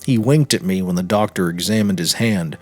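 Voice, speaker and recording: A man narrating a literary short story in a measured, dramatic reading with deliberate pauses. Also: low voice, no echo. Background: music.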